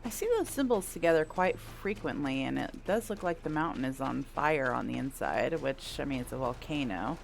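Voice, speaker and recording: Low gravelly-voiced woman rambling over a game. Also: lively intonation, normal speed, hardly any pauses. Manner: rambling, thinking aloud, mildly sweary. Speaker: gameplay streamer. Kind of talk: casual gaming commentary. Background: video game music and sounds.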